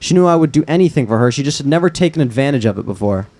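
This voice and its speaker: A man acts out a young man wounded by lost love, in a drama read from a script. His voice is mid-pitched. He speaks earnestly and reflectively, with grief and quiet resolve. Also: expressive, rapid-fire, close to an everyday voice. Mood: grieving, reflective. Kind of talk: screenplay table read.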